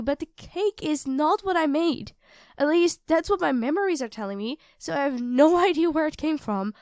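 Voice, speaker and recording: A woman's voice: high-pitched, no echo, studio quality. A woman playing a sweet, self-doubting young girlfriend in an intimate ASMR roleplay, speaking hesitantly and earnestly to her partner. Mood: uneasy, earnest. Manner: hesitant, soft-spoken, earnest, addressing a partner directly.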